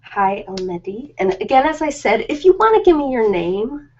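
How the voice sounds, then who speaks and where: A woman's voice: fairly high pitch, very animated, normal speed, darkish tone. Casual rambling woman, slightly dull lo-fi audio. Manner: rambling, informal, self-deprecating. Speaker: live-stream host of ASMR and ritual videos. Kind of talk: casual ad-libbed live-stream chat.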